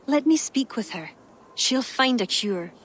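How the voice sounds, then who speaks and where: A woman playing an earnest, determined adviser to a king in a video game, speaking in a measured, formal way. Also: sits high, lively intonation. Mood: earnest, firm. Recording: game audio over clear outdoor noise.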